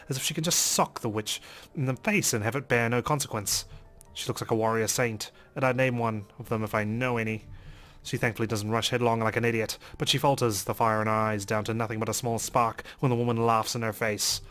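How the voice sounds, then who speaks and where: A man playing and reading a visual novel aloud in a dramatic, expressive way as a let's player. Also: medium pitch. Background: game music.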